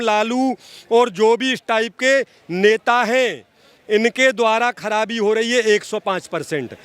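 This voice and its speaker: Very high-pitched man, emphatic. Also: a bit bright, clean tone, no room sound. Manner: emphatic, repeats phrases, addresses listener directly. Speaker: social activist being interviewed. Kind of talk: impassioned opinionated political commentary.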